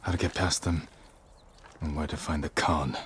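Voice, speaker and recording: An actor playing a grave, resolute samurai warrior in a video game, speaking in a terse, solemn, measured way. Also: male voice, extremely low pitch, fairly even intonation, fairly bright tone, slight background noise. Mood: grave resolve. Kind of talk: video game cutscene acting.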